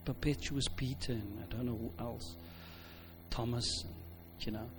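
A man's voice: medium pitch, steady tone, measured pace, music in the background, poor audio. A man with a South African accent giving an informal sermon, speaking emphatically and conversationally, often repeating phrases for weight. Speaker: pastor, preacher.

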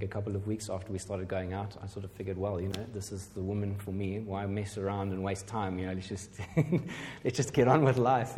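A man's voice: fairly low pitch, warm tone. A middle-aged man telling a personal testimony as a pastor, rambling and self-deprecating, with many fillers. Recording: hall reverb.

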